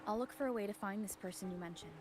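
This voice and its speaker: A woman playing a calm, level-headed young heroine in a video game, speaking matter-of-factly. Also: medium pitch, very fast, lightly acted. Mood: determined. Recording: game music behind the voice.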